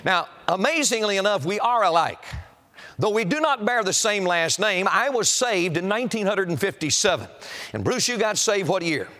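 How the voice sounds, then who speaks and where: A middle-aged or older male preacher with a high-pitched voice. He delivers an evangelistic sermon to a congregation in an auditorium, emphatic and declamatory, pressing solemn warnings on his listeners. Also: very animated, moderate pace, pushed and bright.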